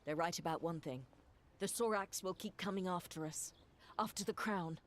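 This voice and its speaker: An actress playing a blunt, resentful adventurer with dry wit in a video game cutscene. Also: female voice, fairly low pitch, normal speed, natural voice. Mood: bitter.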